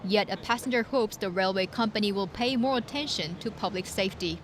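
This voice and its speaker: A woman's voice: medium pitch, fairly quick. A woman narrating a scripted news report as a reporter. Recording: noisy urban traffic ambience behind.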